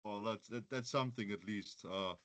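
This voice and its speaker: A man's voice: on the low side, normal speed, crowd noise. A man introducing himself as an education manager at a meeting, good-humored and plain. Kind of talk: self-introduction.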